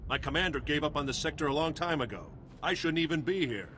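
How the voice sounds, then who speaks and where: A man's voice: on the high side, fast talker, pushed and bright, slight background noise. A man playing a wry, jaded police captain in a video game. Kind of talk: scripted video game dialogue.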